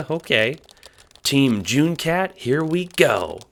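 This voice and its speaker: A man acting in an audio drama as a casual, wry young hero and chatty narrator, with an expressive delivery. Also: on the high side, takes it slowly, creaky, noisy background, heavily acted. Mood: uneasy, joking.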